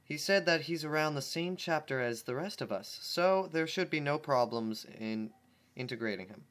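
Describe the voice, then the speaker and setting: A man's voice: on the high side, lively intonation, normal speed, a bit bright. A man reading the lines of an earnest, bookish female pony in an explaining tone, close to his own voice. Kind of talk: reading a fan story aloud.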